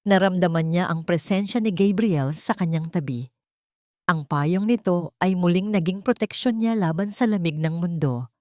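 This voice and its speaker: A woman reading a scripted dramatic story as narrator, in a measured, solemn storytelling manner. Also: lowish voice, warm tone, no room sound, clean studio sound. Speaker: narrator of love stories.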